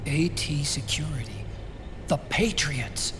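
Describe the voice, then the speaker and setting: An actor playing an earnest, soft-hearted and troubled male tech researcher in a video game. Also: a little high, expressive, slow pace. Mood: distracted. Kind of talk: video game cutscene acting. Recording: reverberant space, clear background noise.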